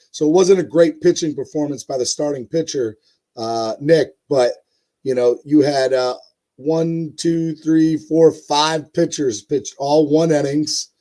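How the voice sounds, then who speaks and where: A man with a hoarse voice hosting a casual live-stream sports show, rambling with many fillers and talking to his chat viewers. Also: on the high side, dark tone. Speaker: host.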